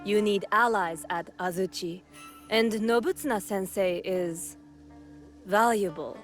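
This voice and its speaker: A woman playing a poised, shrewd noblewoman in a game, composed, deliberate and authoritative. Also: mid-range voice, faint music behind, lightly acted. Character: poised, commanding noblewoman, politically shrewd lord's wife. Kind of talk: formal dramatic game cutscene acting.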